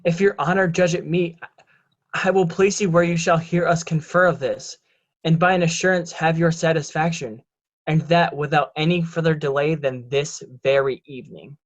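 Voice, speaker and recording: Man playing a scheming son, higher-pitched voice. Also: fairly even intonation, close to an everyday voice. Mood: sly, deferential. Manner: deliberate, declamatory. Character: scheming, resentful bastard son, smooth deceiver. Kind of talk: Shakespearean drama reading.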